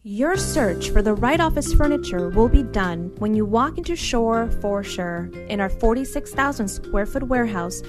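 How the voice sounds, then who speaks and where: A woman's voice: fairly high pitch, animated, moderate pace, hardly any pauses. A woman reading a scripted radio commercial as an announcer. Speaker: advertisement announcer. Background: music bed.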